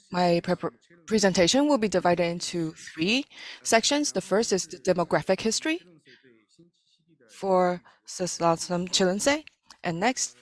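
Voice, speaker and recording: Woman, live interpreter for a lecture; lowish, slow, halting delivery with restarts. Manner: halting, with frequent self-corrections and pauses. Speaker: simultaneous interpreter. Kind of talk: live interpretation of an academic talk.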